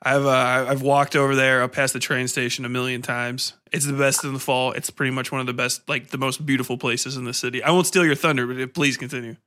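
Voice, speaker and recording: A man's voice: mid-range voice, flat delivery, fast talker, few pauses, pushed and bright. An American man hosting a podcast interview in casual chat, informal and rambling as he talks.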